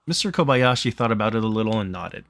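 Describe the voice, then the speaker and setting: Low-voiced man reading a game story aloud. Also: animated, fast talker, fairly bright tone, no room sound. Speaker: streamer reading a visual novel aloud.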